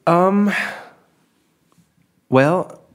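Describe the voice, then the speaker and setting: A man, co-hosting a podcast in a relaxed, rambling chat. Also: high-pitched, very slow. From Germany. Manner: relaxed, rambling with restarts and laughs. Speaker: podcast co-host. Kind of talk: casual chat.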